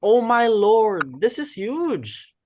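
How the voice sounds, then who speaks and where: A man's voice: high-pitched, sing-song, extremely slow, a little mellow, heavily acted. A Filipino man playing a cheeky, theatrical, easily offended club member in a dramatic reading. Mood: exclaiming.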